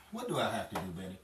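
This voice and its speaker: Actor as an excitable, echoey old-time radio funnyman. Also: fairly low pitch. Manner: theatrical, exasperated, repeats and stammers in disbelief. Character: excitable, wisecracking 1950s Hollywood radio station man. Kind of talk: 1950s radio comedy acting. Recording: echoey, distant, noisy room with crowd sound.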